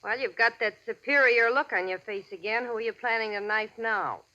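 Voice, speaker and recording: An actress playing a hard-boiled, sardonic American gangster's moll with tough, sarcastic slang, in 1940s radio crime drama style. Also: female voice, a little high, brisk, bright, forceful tone. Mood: disapproving. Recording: dull, band-limited old radio recording.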